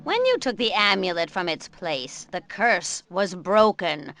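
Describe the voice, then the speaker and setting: A slow, scheming vampire servant. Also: female voice, mid-range voice, big pitch swings, a bit bright, dry recording. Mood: coaxing. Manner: slow, coaxing, then gloating and menacing. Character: scheming, vengeful female servant of Dracula. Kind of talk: game cutscene voice acting. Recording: game audio with clear music score.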